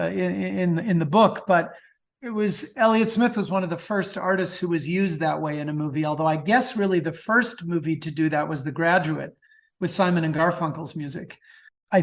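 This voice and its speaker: A man's voice: on the high side, normal speed, gravelly. A man answering as a guest author in a conversational interview, often repeating words as he thinks aloud.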